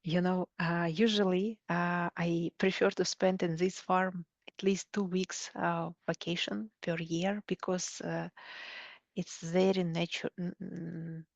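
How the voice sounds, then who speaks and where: A woman with a Russian accent speaks slowly in a fairly low voice, pausing to search for words as she answers interview questions. Her pitch stays even, and the tone is conversational. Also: creaky, no room sound, lo-fi sound. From Siberia, Russia. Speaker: coach, interview guest.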